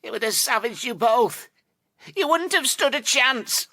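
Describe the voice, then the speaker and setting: A woman's voice: on the high side, expressive, unhurried, sharp tone, dry recording, studio quality, voice pushed up, heavily acted. A woman voicing a wounded, haughty male demon cat in an audio story. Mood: pained, earnest.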